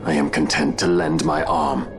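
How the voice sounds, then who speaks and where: A man's voice: extremely low pitch, fairly even intonation, normal speed, vocal fry. A man voicing a loyal, stoic middle-aged knight in a video game, speaking solemnly and resolutely. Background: game soundtrack music.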